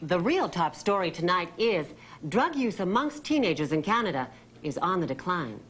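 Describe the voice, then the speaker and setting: An actress playing a stern, no-nonsense news co-anchor in a scripted sketch. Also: female voice, lowish voice, sing-song, normal speed, a bit bright, slightly rough, exaggerated voice. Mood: brisk, serious.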